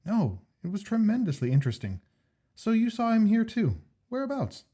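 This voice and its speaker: Keen unafraid sporty gent from a storybook, done by a guy, brisk n breezy, raised pitch, bit husky. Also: wildly swooping pitch, warm tone, full character voice. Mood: keenly interested. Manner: brisk, eager, nonchalant. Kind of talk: storybook character dialogue.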